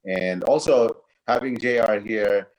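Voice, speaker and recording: Pastor dude, 50s, lowish voice, lots of uh's. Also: male voice, slow, a little mellow, rough recording. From Philippines. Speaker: pastor working with church planters. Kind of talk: casual video-call chat.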